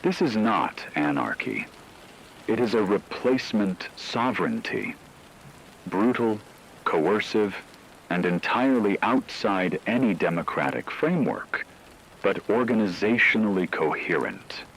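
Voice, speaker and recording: A man playing a grave radio newsreader in a fictional broadcast, reading scripted reports in a formal, measured, solemn way. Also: fairly low pitch, sing-song, gravelly, fairly bright tone, a little hiss or hum, full character voice, low-quality recording. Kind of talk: scripted news narration.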